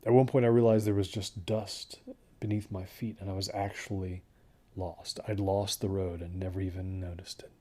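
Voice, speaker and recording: A man plays a haunted hiker telling his story in an audio drama. The voice is low and husky, and he talks haltingly and introspectively, like a confession from someone slowly coming apart. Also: level tone, warm tone. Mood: uneasy, haunted. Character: haunted, unravelling male desert hiker recounting his trek. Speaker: first-person narrator of a horror audio drama.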